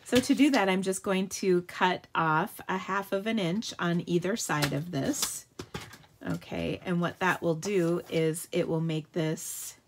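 A woman's voice: fairly low pitch, measured pace, slight background noise. Chatty woman demoing card crafts live. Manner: chatty and upbeat, answering viewer questions while working. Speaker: card-making demonstrator. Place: home craft table.

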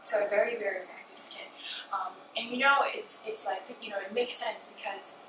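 A woman in her thirties, originally from Malaysia, tells her story in a loose, filler-heavy chatting way. Her voice sits at a middle pitch. She is picked up from a distance in a reverberant living room, with steady background noise. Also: moderate pace. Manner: chatty, many fillers like "like" and "you know". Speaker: guest, skincare founder. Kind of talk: casual interview chat. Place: living room, seated on a sofa.

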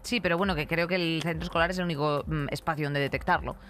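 A woman's voice: low-pitched, steady tone, quick pace, fairly bright tone, soft background music. A woman in her thirties with a Castilian accent from Spain, giving blunt, emphatic, colloquial opinions as a podcast co-host. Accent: Castilian Spanish from Spain. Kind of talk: casual opinionated commentary. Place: radio-style podcast studio. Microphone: close studio microphone on desk.

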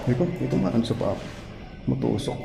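Male vlog host, 30s, excitable, handheld camera, music bed. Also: medium pitch, a little fast, creaky. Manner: chatty, excitable, trails off into hushed remarks in dark rooms. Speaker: vlog host exploring abandoned places. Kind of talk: casual walking vlog. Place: abandoned concrete building, indoors and outdoors. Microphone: handheld action camera at arm's length.

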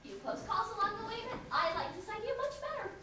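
A young woman acts a determined, insistent bride in an amateur stage comedy. Her voice is very high and lively. It sounds distant in a noisy, echoing theatre. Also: fast talker. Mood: earnest, resolute. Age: young adult. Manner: emphatic, insistent. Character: determined, impatient bride desperate to wed a stranger. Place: theatre stage, camera far off. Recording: distant, echoey, noisy camcorder stage recording.

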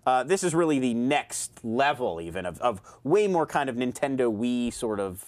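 A man in his thirties hosting a casual tech news chat in a studio, animated and gesturing, often restarting his sentences, on a lapel mic. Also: medium pitch, moderate pace. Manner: animated, hands moving, many restarts. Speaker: host. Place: studio with round table, dark backdrop.

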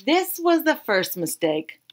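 A woman in her thirties reading a children's picture book aloud expressively, with dramatic pauses and emphasis. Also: high voice, big pitch swings. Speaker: read-aloud storyteller.

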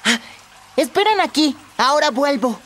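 A woman's voice: sits high, expressive, moderate pace. A woman voicing a mischievous, boastful little boy playing superhero in a children's cartoon, with a Latin American Spanish accent. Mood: scheming, excited. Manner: boastful, theatrical. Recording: music bed behind.

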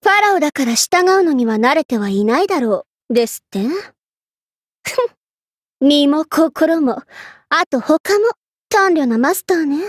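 A woman voicing a haughty, vain young Egyptian queen in a Japanese anime game, imperious and theatrical, quick to get flustered. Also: high-pitched, dry recording, clean studio sound. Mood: scornful.

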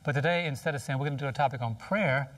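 A man's voice: mid-range voice, racing, a bit bright, no room sound, recorded from a distance. An older man, a pastor, co-hosting a TV panel chat with a jovial, teasing manner and preacher-like rhetorical questions. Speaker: pastor and co-host. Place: TV studio set, seated at table.

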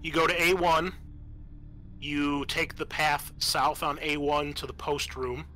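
A man's voice: fairly high pitch, level tone, a little slow, pushed and bright, a little hiss or hum, poor audio. A man running a tabletop roleplaying game as game master, talking casually to his players.

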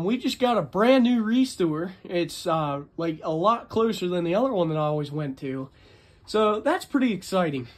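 A man talking casually and unscripted as a hobbyist vlogger, in a chatty, rambling, enthusiastic way. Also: high voice. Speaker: vlogger, ceiling fan collector. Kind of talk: casual unscripted show-and-tell video narration.

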